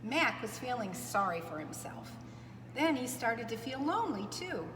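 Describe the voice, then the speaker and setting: A woman's voice: fairly high pitch, very animated, moderate pace, music in the background. A woman reading a story aloud to young children, expressively and with chatty asides to her listeners. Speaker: preschool storyteller. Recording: echoey reverberant room, clear background noise.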